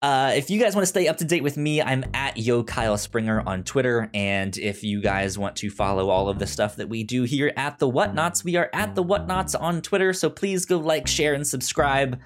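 A man co-hosting a podcast in casual chat, haltingly, often repeating and restarting his words. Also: mid-range voice, a little hiss or hum. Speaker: podcast co-host.

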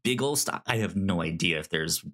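A man co-hosting a casual film discussion podcast, speaking haltingly and often stammering over repeated syllables. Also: low-pitched, animated, bright, forceful tone. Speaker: podcast co-host.

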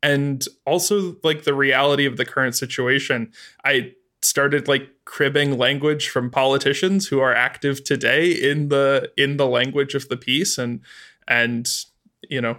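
Writer guest, male, mid pitch, notably bright timbre, conversational, halting with repeated words. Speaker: writer being interviewed. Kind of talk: conversational interview answer.

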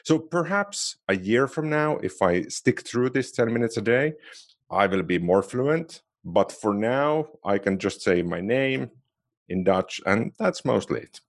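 A man's voice: mid-range voice, animated, measured pace. A man with a Finnish accent co-hosting a podcast, chatting casually and a little self-deprecatingly. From Finland. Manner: chatty, self-deprecating, restarts phrases often. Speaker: podcast co-host. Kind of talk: casual chat.

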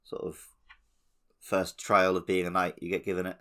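Male podcast co-host, slightly low voice, informal gaming-chat delivery. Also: little pitch movement, moderate pace, a bit bright.

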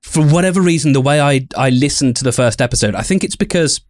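A man chats casually as a podcast co-host in a dry, close-sounding recording. His voice sits at a medium pitch, and he often backs up to reword what he is saying. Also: lively intonation, quick pace, dry recording.

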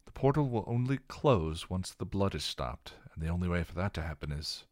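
A grave older scholar explains in measured, lecturing lines, pitched a little above the reader's own deep voice. A man plays him, recorded close and dry, with lively inflection. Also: no room sound.

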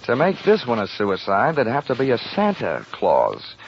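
An American actor playing a cynical, wisecracking insurance investigator in an old-time radio detective drama, wry and brash. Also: male voice, mid-range voice, huge pitch swings, normal speed, vocal fry, a little hiss or hum. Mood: brash, sardonic. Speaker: radio drama lead and narrator. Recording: muffled old radio broadcast, music behind.

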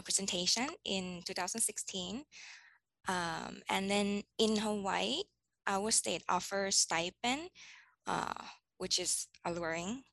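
A woman's voice: medium pitch, gravelly, pushed and bright, dry recording, rough recording. A woman in her thirties from Thailand, a teacher answering interview questions thoughtfully, with frequent ums and restarts. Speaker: teacher guest.

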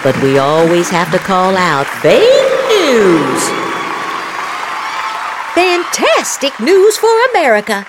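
A woman's voice: mid-range voice, wildly swooping pitch, takes it slowly, loud music behind the voice, over a noisy crowd. A woman hosting a podcast, reading social media posts aloud theatrically with exaggerated inflection and mock emphasis. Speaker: podcast host.

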